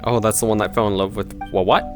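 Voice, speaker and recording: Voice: mid-range voice, steady tone, fast. A game reaction streamer giving casual, chatty commentary with laughing asides. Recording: game music playing behind.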